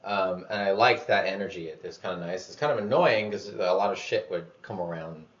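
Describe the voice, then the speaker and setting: Deep-voiced man rambling casually. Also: brisk. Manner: loose, filler-heavy, swears casually. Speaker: vlogger. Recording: dull lo-fi sound.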